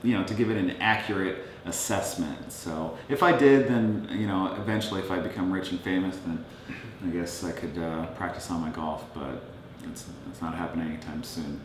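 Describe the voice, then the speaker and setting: A man's voice: low voice, brisk. Laid-back middle-aged man, echoey room. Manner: laid-back, reads viewer questions aloud. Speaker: co-host. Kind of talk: casual chat. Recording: very echoey room, faint noise.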